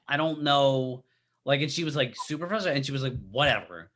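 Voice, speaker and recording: Male co-host, about forty, bright sharp voice, casual and halting storytelling with repeated phrases. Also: mid-range voice, expressive. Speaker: podcast co-host. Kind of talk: casual chat.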